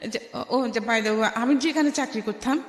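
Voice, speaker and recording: A woman with a Bangladeshi Bengali accent tells her life story as a radio interview guest, haltingly, restarting phrases and dropping in English words. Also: fairly high pitch. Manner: halting, with repeated false starts and English words mixed in. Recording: echoey with long reverb.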